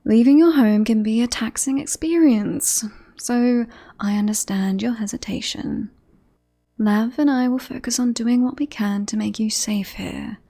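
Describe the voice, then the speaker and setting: A woman playing a devoted bodyguard in an ASMR roleplay, politely reassuring and professional on the surface, with an obsessive streak slipping into hushed intimacy. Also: a little high, measured pace. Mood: soft, insistent. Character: devoted yandere bodyguard woman, composed front over creepy obsession.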